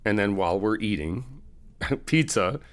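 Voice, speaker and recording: A man's voice: fairly low pitch, animated, measured pace. A man in a friend roleplay, chatting casually and upbeat to the listener, laughing often and teasing. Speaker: ASMR roleplay creator playing a best friend.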